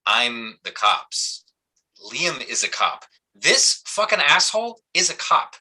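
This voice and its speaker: A gruff, sardonic small-town cop, acted by a man in a staged play reading. He has a sharp, very forceful voice at a middling pitch and speaks bluntly, in halting everyday phrases. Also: very animated, full character voice. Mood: exasperated.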